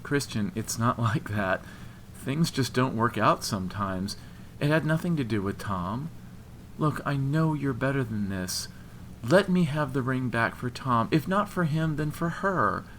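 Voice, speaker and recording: A man voicing an earnest young woman in an audiobook, compassionate but firm, pleading haltingly. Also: on the low side, a bit bright, slight background noise, pitched above the performer's own voice, poor audio. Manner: halting, imploring. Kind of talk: audiobook character dialogue.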